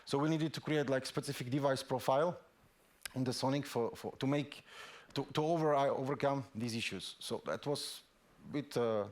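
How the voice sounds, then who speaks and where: A man speaking English presents a technical talk on a conference stage. His pitch sits in the middle for a man, and he works through his points haltingly, repeating words and filling pauses. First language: Slovak. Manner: hesitant, frequent restarts and fillers.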